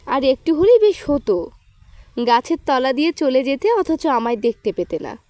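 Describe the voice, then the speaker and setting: A woman plays a teasing teenage girl from an audio storybook, pitched very high. She is coquettish and sulky, scolding in a playful way, on a dry recording. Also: very animated, moderate pace, mellow, no echo. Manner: coquettish, reproachful.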